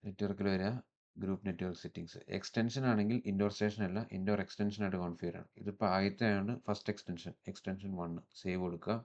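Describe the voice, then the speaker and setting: A man with a Malayali accent presenting a step-by-step software tutorial in an instructional manner, mixing in English technical terms. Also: deep voice, steady tone, rapid-fire, dull audio.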